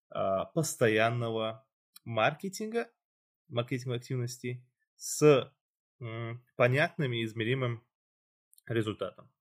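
A man hosting a casual chat, explaining at length with loose phrasing and fillers. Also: medium pitch. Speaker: podcast host.

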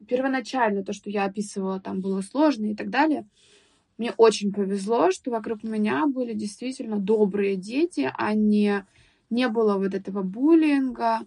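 A young woman guest on a podcast, recalling her school years in a thoughtful, hesitant way with many pauses and restarts. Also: mid-range voice, creaky, dark tone, husky, dry recording. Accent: Russian speaker living in England. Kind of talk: casual podcast interview.

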